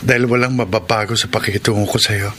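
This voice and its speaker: Sincere Filipino gentleman suitor played by an actor. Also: fairly low pitch, steady tone, a little hiss or hum, close to an everyday voice. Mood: earnest, tender. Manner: sincere, deliberate. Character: kind, sincere older suitor confessing love to his housemaid. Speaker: radio drama actor. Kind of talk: Filipino radio drama acting.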